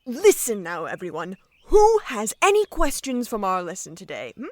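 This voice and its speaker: A woman playing a fussy, hesitant male village schoolmaster in an audio drama, speaking in halting, formal, teacherly phrases. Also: medium pitch, huge pitch swings, no room sound, clean studio sound. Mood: prim, instructive.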